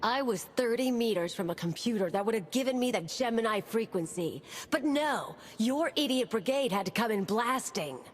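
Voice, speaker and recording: An actress playing a brash, defiant mercenary agent in a video game, speaking bluntly and scornfully. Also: female voice, on the high side, animated, moderate pace, hardly any pauses, lightly acted. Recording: reverberant game hall.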